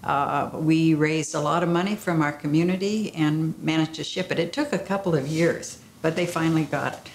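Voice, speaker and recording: An older woman answering in a documentary interview, warm and reflective, with some fillers. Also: low-pitched, normal speed, few pauses, roomy sound, a little hiss or hum.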